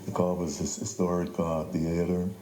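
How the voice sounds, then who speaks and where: An older man, a playwright talking reflectively and haltingly as an interview guest. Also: low voice, completely flat delivery, warm tone. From New Orleans, United States. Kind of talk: conversational interview. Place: echoey indoor theater. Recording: echoey room, faint background noise.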